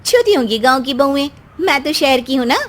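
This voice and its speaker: Woman doing snooty young city bride, high voice, sassy, mocking, cartoon. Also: lively intonation, a little fast. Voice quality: sassy, mocking, laughs at others. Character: haughty young city wife, rude to in-laws. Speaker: voice actor. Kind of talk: animated story dub.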